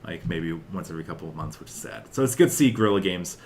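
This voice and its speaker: A man's voice: sits low, lively intonation, racing, darkish tone, a little hiss or hum. A young man hosting a podcast, chatting casually and rambling about with lots of filler words. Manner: rambling, peppered with "like" and "uh". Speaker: podcast host. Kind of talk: casual chat about video game news.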